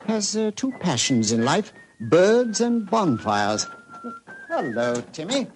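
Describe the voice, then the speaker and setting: An actor playing an affable, hesitant older professor in a vintage radio drama. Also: male voice, high-pitched, big pitch swings, slow pace, full character voice. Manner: hesitant, stammering, avuncular. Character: affable older English professor, eager gentlemanly host. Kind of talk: 1940s radio acting. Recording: noisy old radio recording.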